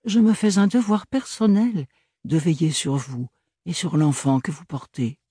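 A man's voice: a little high, a little slow, dark tone, slightly rough, no room sound, clean studio sound, pitched above the performer's own voice. A man voicing a smug, ironic male ambassador who talks in an airy, mocking, sugary way.

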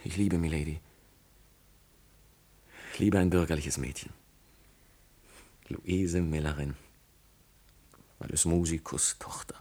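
An actor playing a passionate, defiant young nobleman in love in a classical tragedy radio play, declaiming in a husky voice. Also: extremely low pitch, fairly even intonation, takes it slowly, long pauses, darkish tone, exaggerated voice. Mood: solemn, resolute. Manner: declamatory, impassioned.

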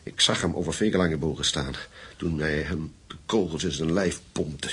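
A grave old-war comrade in a radio play. The voice is very deep and heavily husky, with weighty, measured phrases that probe and confide. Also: male voice, fairly bright tone, heavily acted. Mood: reluctant, sombre. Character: grave German war veteran, the widow's confidant. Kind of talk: radio drama acting.

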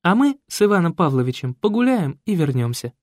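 Calm firm young woman, read by a man. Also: fairly high pitch, brisk, dry recording, clean studio sound, pitched above the performer's own voice. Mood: commanding. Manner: composed, commanding. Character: calm, shrewd young woman, priest's daughter.

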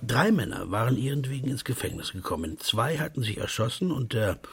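An actor playing a wry narrator of a wicked underworld tale in a literary radio play, his voice rough and his delivery savouring. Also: mid-range voice, big pitch swings, a little fast, crowd noise. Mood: dry, savouring.

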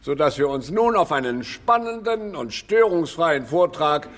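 An actor playing a pompous, stern male institute director in a radio drama, speaking formally and deliberately. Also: fairly high pitch, very animated, a bit bright. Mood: snide. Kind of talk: theatrical German radio drama acting.

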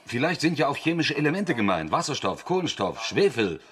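A man's voice: medium pitch, big pitch swings, brisk, a bit bright. A man playing a sober, disapproving crew member in a radio drama, with a dry, objecting manner. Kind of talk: German radio play acting.

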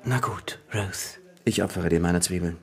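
A man's voice: low-pitched, a little slow, darkish tone. An actor playing a cheeky, grumbling, exuberant young man about town in a German radio play. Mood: bantering. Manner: bantering, exuberant.

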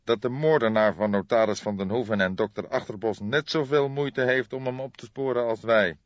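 Man acting a patient, deliberate old police detective. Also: medium pitch, no room sound, pitched above the performer's own voice, close to an everyday voice. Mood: concerned. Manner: measured, thoughtful, hesitant hums. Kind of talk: audiobook character dialogue. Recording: dull lo-fi recording.

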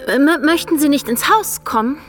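A woman's voice: high-pitched, expressive, moderate pace, over music. An actress playing a shy, curious young provincial lady in a radio drama, hesitant and earnest. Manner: hesitant, wondering, simple direct questions.

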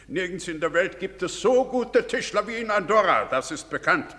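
Pompous village doctor, bigoted, declamatory, bright animated higher-pitched voice, reverberant theatre recording. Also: male voice, moderate pace. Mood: boastful. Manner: pompous, self-important, declamatory. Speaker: stage actor in a broadcast drama. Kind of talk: theatrical stage acting.

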